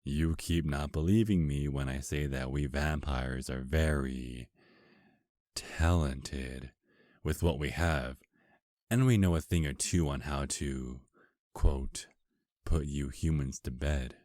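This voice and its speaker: A man's voice: a bass voice, takes it slowly, darkish tone, dry recording, studio quality. A man playing an affectionate, teasing vampire husband in an intimate ASMR romance roleplay, murmuring tenderly and flirtatiously. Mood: flirty, sensual.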